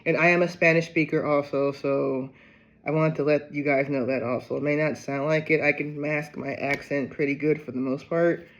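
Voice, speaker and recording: A man from Ohio chatting casually to camera in a gardening vlog, rambling and low on energy, often breaking into excited exclamations. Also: lo-fi sound. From Ohio, USA. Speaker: gardening vlogger.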